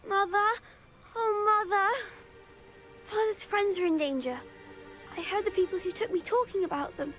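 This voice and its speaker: A woman's voice: very high voice, normal speed, pauses often, a bit bright. A woman voicing a vulnerable, earnest little boy in a video game. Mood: anxious, earnest. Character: frightened, grateful little boy. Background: faint music.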